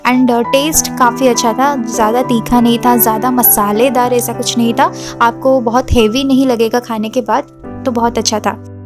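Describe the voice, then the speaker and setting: High-voiced chatty woman food vlogger. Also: fairly even intonation. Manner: chatty, mixes English words into Hindi, frequent "uh" pauses. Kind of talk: casual restaurant review voice-over. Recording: music playing under the voice.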